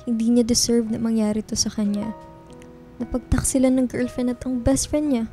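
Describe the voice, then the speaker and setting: A woman's voice: fairly high pitch, flat delivery, moderate pace, dark tone, close to an everyday voice. A woman acting a guilt-ridden young woman in love, earnest and emotional, in a Filipino radio drama. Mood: guilty, pleading. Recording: music under the voice. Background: background music.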